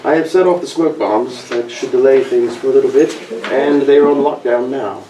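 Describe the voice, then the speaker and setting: Matter-of-fact operative reporting in, man at a table read, mid-pitched, off the mic in a roomy space. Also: moderate pace, warm tone, natural voice. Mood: clipped, covert. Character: businesslike male crewman and covert operative reporting in. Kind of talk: amateur script table read. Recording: echoey room, distant mic, clattering background.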